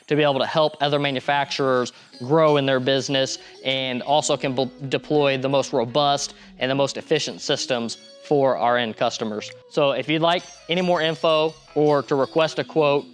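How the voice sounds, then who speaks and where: A man's voice: mid-range voice, fairly even intonation, normal speed, few pauses, faint music behind. A man hosting a podcast in loose, rambling casual chat, full of restarts. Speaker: podcast host.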